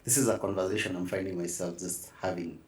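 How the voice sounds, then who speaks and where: A man's voice: low-pitched, steady tone, fast talker. A man with a Kenyan accent hosting a casual conversational interview. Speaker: podcast host. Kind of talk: casual conversational interviewing, mixing English and Swahili. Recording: echoey room, distant mic, faint noise.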